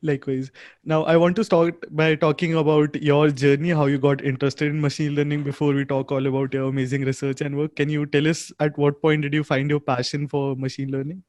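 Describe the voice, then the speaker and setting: A man's voice: on the high side, flat delivery, fairly quick, a little mellow. A man hosting a podcast, reading an upbeat scripted intro and asking interview questions. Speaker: podcast host.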